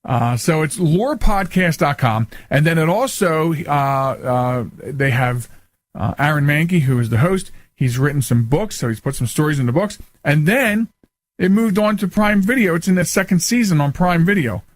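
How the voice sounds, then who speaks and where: A man's voice: a little high, animated, moderate pace, dry recording. A man hosting a radio talk show in casual, informal chat, often repeating and rephrasing himself.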